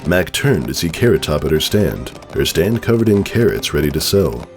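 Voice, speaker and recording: A man's voice: a bass voice, very animated, normal speed, soft background music. A man giving a dramatic storybook narration of a fan fiction tale.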